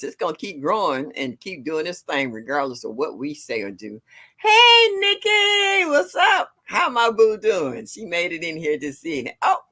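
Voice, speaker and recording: A woman in her sixties from with a deep, low voice, chatting casually on a livestream, greeting viewers and laughing often. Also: bright, forceful tone. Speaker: livestream host. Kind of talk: casual chat reading viewer comments.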